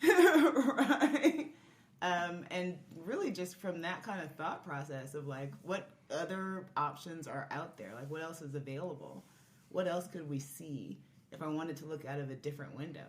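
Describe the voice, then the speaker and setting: A woman speaking as a podcast guest, telling her story in a casual, conversational way. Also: lowish voice. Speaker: podcast guest, marriage and family therapist. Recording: echoey room, faint background noise.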